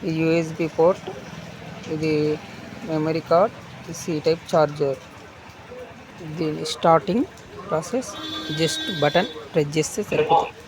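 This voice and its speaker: A man's voice: a little high, background noise. A man gives an informal, step-by-step product demonstration, often repeating phrases as he instructs. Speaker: product unboxing presenter.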